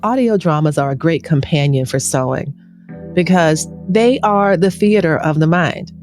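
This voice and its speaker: A woman's voice: low voice, normal speed, a little mellow, faint music behind. A woman hosting a podcast, introducing in a conversational way. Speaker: podcast host.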